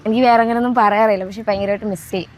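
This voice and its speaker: Chatty young woman over loud music. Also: medium pitch, fairly even intonation, racing. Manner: chatty, emphatic, mixing in English words. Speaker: friend of the bride. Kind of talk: casual on-camera interview. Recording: music playing behind.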